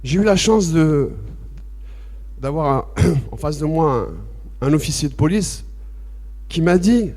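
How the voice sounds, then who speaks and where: A man from France giving a personal testimony at a public debate, halting and repetitive at first, then impassioned and emphatic. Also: fairly high pitch, expressive, a little mellow. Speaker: association president speaking at a public debate. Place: debate hall with audience. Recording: long reverb of a large hall.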